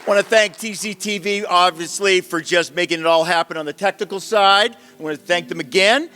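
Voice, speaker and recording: A man's voice: high-pitched, a little fast, pushed and bright, a faint crowd. A man giving informal, off-the-cuff thanks to an audience in a folksy, chatty way. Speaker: theater organization representative giving closing thanks. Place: theater hall at a stage performance. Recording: hall reverb, faint music behind.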